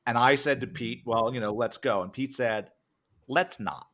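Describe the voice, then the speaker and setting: An American man talking casually in an interview, telling things in a halting way as he searches for words. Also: medium pitch, a bit bright. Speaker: interviewer and interviewee, podcast cast member. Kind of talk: casual anecdotal interview chat.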